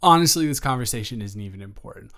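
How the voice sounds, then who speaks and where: A man playing a flustered, evasive young roommate in an improvised audio roleplay, rambling and halting with theatrical exasperation. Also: mid-range voice, expressive, a bit bright. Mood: playfully evasive. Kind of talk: improvised intimate roleplay monologue.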